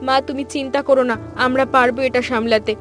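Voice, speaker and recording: A high voice over music, a woman playing a young daughter-in-law in a village cartoon. She is dutiful and cheerful, speaking earnestly and reassuringly. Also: steady tone, fast, lightly acted. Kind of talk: dramatized cartoon dialogue. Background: background music.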